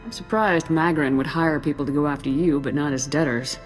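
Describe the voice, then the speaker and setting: An actor playing a confident, loyal military squad commander in a video game, speaking in a brisk, firm manner. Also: male voice, on the high side, big-room sound, soft background music, natural voice, rough recording. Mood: friendly, supportive. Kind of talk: video game cutscene dialogue.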